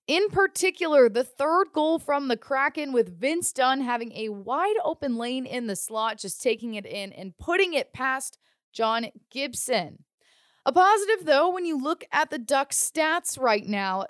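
A woman's voice: on the high side, dry recording, clean studio sound. A woman hosting a sports show, delivering a scripted recap of the action.

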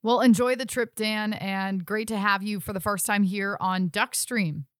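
A woman's voice: mid-range voice, fairly even intonation, measured pace, no room sound, studio quality. A young adult woman hosting a sports podcast with polished presenting and interviewing.

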